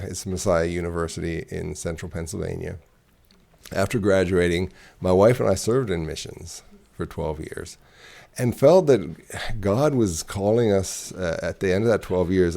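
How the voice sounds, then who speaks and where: A man's voice: low voice, creaky, mellow. A middle-aged man from Zimbabwe, a ministry director, telling his story in an interview with frequent hesitations and repeated words.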